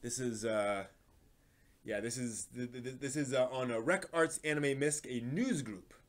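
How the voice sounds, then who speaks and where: A man's voice: medium pitch, normal speed. A man hosting a livestream, talking casually with a hesitant, rambling way of explaining things. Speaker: livestream host.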